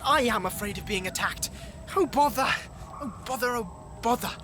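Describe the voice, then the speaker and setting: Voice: mid-range voice, normal speed, over music, close to an everyday voice. An actor voicing a timid young male animal hero in a storybook audio drama, fretful and anxious but plucky, with old-fashioned children's-book diction. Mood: frightened.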